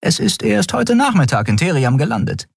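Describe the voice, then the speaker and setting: A man's voice: sits high, big pitch swings, normal speed, vocal fry, breathy edge, dry recording, clean studio sound, pitched above the performer's own voice, heavily acted. A man voicing a female alien first officer who reports in a formal military manner, in an audiobook.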